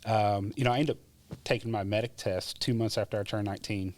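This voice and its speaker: A low-pitched man speaks in a relaxed, chatty way, full of fillers like "you know". The recording is dry and close, with no room sound. He is a podcast guest recalling his path into emergency medicine. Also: fairly quick, no echo. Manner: relaxed, chatty, laughs often, many fillers. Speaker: guest, former EMT. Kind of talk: casual interview storytelling.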